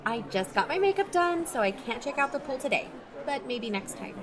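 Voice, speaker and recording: A woman's voice: high-pitched, a little fast, a crowd in the background. A woman playing a sociable, slightly flustered aunt in an audio drama, chatty and conversational. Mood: breezy. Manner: animated, chatty. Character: sociable, flustered aunt covertly looking around.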